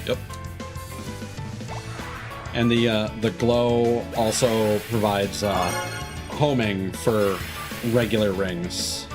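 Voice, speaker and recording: A man's voice: on the low side, steady tone, slow pace, pauses often. A man giving casual gameplay commentary as a streamer, muttering and exclaiming at the game as he plays. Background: video game music.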